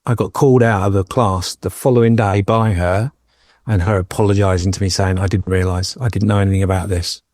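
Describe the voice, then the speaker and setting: A man in his fifties from England tells personal stories on a podcast, slipping in dry jokes along the way. His voice is on the low side. The recording is close and dry. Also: fairly even intonation, fairly quick, darkish tone, no echo. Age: 50s. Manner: jokey, anecdotal storyteller. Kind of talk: casual chat, personal storytelling.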